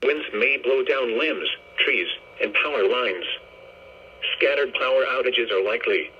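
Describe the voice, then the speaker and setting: A synthetic-sounding male announcer reads a formal weather bulletin in a measured, impersonal way through a weather radio speaker. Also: mid-range voice. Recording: muffled, raspy small radio speaker.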